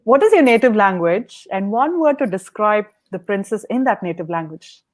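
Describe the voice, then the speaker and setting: A woman's voice: medium pitch, animated, moderate pace. A woman hosting a podcast interview who asks prepared questions in an upbeat way. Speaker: podcast host.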